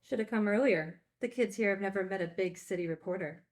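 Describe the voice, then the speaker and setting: A woman's voice: mid-range voice, a little fast, close to an everyday voice. Frank, wry woman, recovering addict acted by a woman. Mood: wry, guarded. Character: Frankie, candid woman, recovering addict and group-home teacher. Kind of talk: naturalistic screenplay table read.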